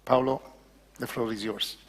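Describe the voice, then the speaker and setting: A man's voice: medium pitch, fairly even intonation, a little slow, long reverb tail. A man moderating a workshop, formally introducing the speakers with occasional fillers.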